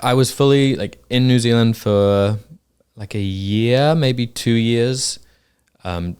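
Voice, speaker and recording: A young man from England, a podcast guest in relaxed casual chat, who often laughs and hesitates. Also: medium pitch, takes it slowly, smooth.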